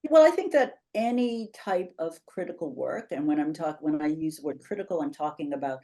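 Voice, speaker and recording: A woman, answering interview questions as a guest on a video call from her home office, articulate and animated. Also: deep voice, brisk. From Bahamas. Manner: articulate, animated, gestures while speaking. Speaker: guest, professor and institute founder. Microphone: webcam on a video call.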